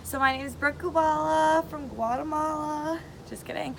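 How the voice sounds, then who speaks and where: A woman's voice: sits high, steady tone, moderate pace, slight background noise. A bubbly 22-year-old woman, chatting eagerly to camera in a casual audition video. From Massachusetts, USA. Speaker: reality show applicant.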